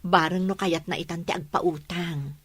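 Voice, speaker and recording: A woman with an Ilocano accent playing an ailing but resolute mother in a radio drama, speaking earnestly in a plaintive, measured way. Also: fairly low pitch, fairly even intonation, slightly rough, no room sound. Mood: worried, hopeful.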